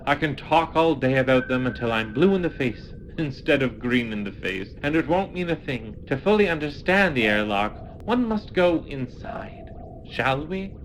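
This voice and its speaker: An actor playing a scheming, sarcastic Martian villain in a comic audio play, sneering and mock-friendly. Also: male voice, medium pitch, animated, moderate pace, a little hiss or hum, lightly acted, poor audio. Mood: false friendliness.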